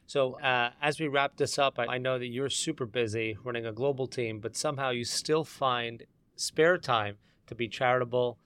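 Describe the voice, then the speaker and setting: A man's voice: medium pitch, fairly even intonation, normal speed, fairly bright tone, clear, resonant tone. A man hosting a podcast interview, asking his guest friendly, complimentary questions. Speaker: podcast host. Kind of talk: conversational interview.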